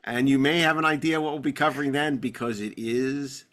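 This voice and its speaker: Bright-voiced man hosting a podcast. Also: medium pitch, brisk. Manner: joking, digressive, teasing. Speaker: podcast host. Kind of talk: casual panel banter and show sign-off.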